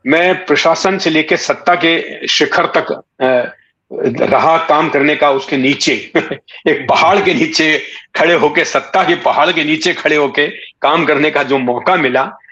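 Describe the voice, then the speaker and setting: A man, giving measured, reflective interview answers phrase by phrase. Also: a little high, fairly bright tone, highs cut off. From India. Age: 60s. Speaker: interview guest, writer and former broadcaster.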